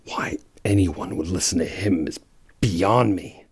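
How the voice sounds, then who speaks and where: A man's voice: deep voice, a little slow, darkish tone, breathy edge, no echo, full character voice. A man voicing a bitter, brooding, protective male hedgehog hero in a fan audio drama.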